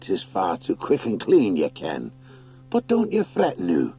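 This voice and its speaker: A man's voice: deep voice, wildly swooping pitch, measured pace, vocal fry, mellow, slightly rough, faint music behind, exaggerated voice. Menacing Scottish warrior creature, voiced by a male reader, folksy and set on revenge, for animal fantasy audiobook.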